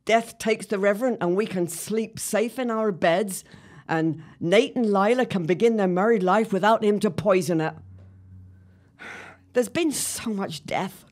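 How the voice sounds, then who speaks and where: A woman acting as a sharp-tongued wife and mother in a period audio drama, speaking bluntly and emphatically. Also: mid-range voice, unhurried, close to an everyday voice. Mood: grave, mournful.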